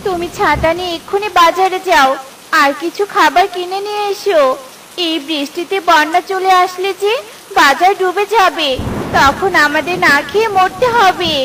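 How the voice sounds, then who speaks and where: A woman's voice: extremely high pitch, moderate pace, a bit bright, slight background noise, poor audio. A woman voicing a haughty, greedy crow wife who orders others around in a children's cartoon. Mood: bossy.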